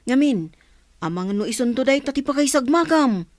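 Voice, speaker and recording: A woman's voice: high-pitched, expressive, moderate pace, a little mellow, no echo, studio quality. A woman playing a level-headed male buddy in an audio drama, questioning and admonishing. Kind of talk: Ilocano audio drama acting. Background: faint music.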